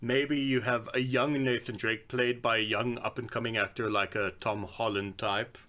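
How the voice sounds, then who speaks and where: Man in relaxed podcast conversation. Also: medium pitch, normal speed. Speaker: podcast co-host. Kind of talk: casual chat about films and games.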